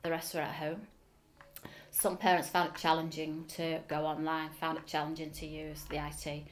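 A woman's voice: sits low, flat delivery, moderate pace. A middle-aged woman with a Liverpool accent, speaking earnestly in an interview in a school office, with frequent ums. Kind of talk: oral history interview. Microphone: seated facing camera.

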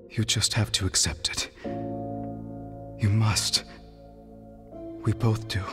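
An actor playing a gentle, sorrowful man in a video game, earnestly imploring a tormented friend. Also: fairly low pitch. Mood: grieving, resolute. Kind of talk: dramatic video game acting.

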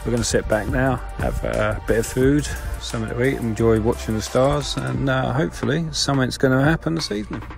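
A man narrating a fishing trip in a laid-back, unhurried voice-over. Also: mid-range voice, vocal fry. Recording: voice-over with music underneath.

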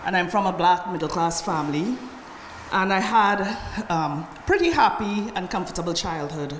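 A middle-aged Trinidadian woman gives a conference talk in a measured, personal way, checking in with her listeners as she goes. Her voice sits a little low. She is picked up from afar in a roomy lecture hall with long reverb and faint background noise. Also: expressive. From Trinidad and Tobago. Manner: measured, personal, tags lines with "right?". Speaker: conference speaker. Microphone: podium microphone from a far camera. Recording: echoey hall recorded from afar.

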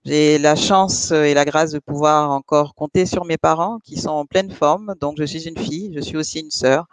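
A woman's voice: deep voice, moderate pace, dry recording. A middle-aged woman introducing herself earnestly on a video call over a headset. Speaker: HR director, panelist. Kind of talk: self-introduction on webinar.

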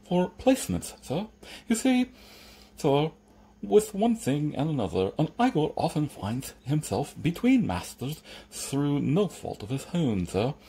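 A man's voice: a little high, very animated, measured pace, dark tone, husky, voice pushed up, full character voice. A man voicing a stitched-up servant creature from a storybook, obsequious, formal and unflappable, with a grumbling streak. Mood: smooth, unflappable. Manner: deferential, formal, salesmanlike.

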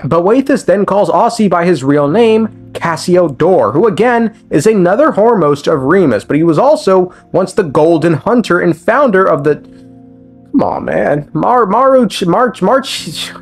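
A man's voice: fairly high pitch, breathy edge. A man narrating a story recap of game lore as casual commentary, irreverent and slangy, swearing freely. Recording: music under the voice. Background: background music.

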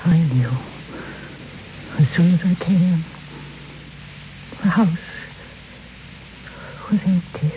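An actress playing a betrayed woman in an old radio drama, intense and grief-stricken, speaking in short halting phrases. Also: fairly low pitch, mellow. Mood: grieving, bitter. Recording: muffled, lightly hissy old radio recording.

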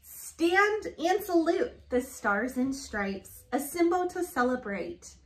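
A woman with a high voice reads a picture book to young children. Her delivery is sing-song and encouraging, as a storytime teacher would be. The room around her is live and echoey. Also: sing-song, slow. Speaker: storytime reader. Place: living room, indoors. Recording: roomy, echoey home recording.

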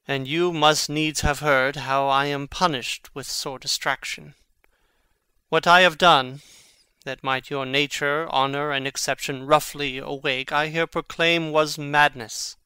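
Slow, bright-voiced brooding prince played by a man. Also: on the high side, smooth, no room sound. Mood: earnest, contrite. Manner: measured, theatrical delivery. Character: Prince Hamlet, brooding, witty, fatalistic young prince. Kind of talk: dramatic reading of Shakespearean verse.

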